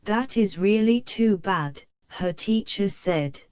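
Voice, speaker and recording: A woman reading a children's picture book aloud, slow and careful for young learners. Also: medium pitch, lively intonation, very noisy. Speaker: storybook reader.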